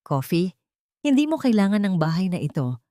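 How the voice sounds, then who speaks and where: A woman with a Filipino accent voicing a wronged wife, calm, measured and cutting, in an audio drama. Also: on the low side, no room sound, clean studio sound. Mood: calm, dismissive.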